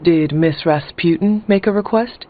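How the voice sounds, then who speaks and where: A woman's voice: lowish voice, unhurried, narrow, tinny audio, lightly acted. Composed warrior woman voiced by an actress, thin sound. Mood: direct. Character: earnest, composed young female warrior, formal and direct. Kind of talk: video game voice acting.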